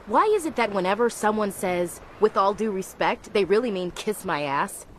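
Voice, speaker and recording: A woman's voice: medium pitch, animated, moderate pace, fairly bright tone, a little hiss or hum, lightly acted. A woman playing a feisty, blunt soldier in a video game, assertive and wry. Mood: defiant, wry. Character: feisty, blunt female human soldier, gunnery chief. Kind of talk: video game cutscene acting.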